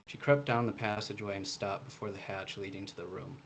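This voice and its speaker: Male author, low monotone delivery, some steady background noise. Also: brisk. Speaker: debut author reading from own novel. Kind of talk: reading a prose passage aloud, with some informal introduction.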